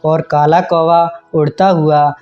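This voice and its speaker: A man's voice: a little high, little pitch movement, slow pace, muffled recording, soft background music. A man narrating a scripted children's cartoon story.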